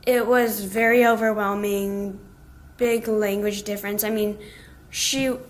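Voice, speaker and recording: A woman speaking casually in a documentary interview, relaxed with fillers. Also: mid-range voice, measured pace.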